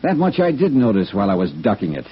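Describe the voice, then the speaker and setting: An actor playing a blunt, wry, hard-boiled insurance investigator in a vintage radio noir drama. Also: male voice, on the low side, huge pitch swings, fast talker, slightly rough, exaggerated voice. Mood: wry, pressing. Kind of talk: 1950s radio noir acting. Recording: dull vintage radio recording.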